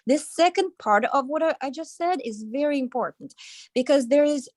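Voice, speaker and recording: A woman's voice: sits high, animated, moderate pace, darkish tone, dry recording. Earnest Ukrainian-accented woman, dry sound. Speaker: guest advocate. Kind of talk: prepared statement.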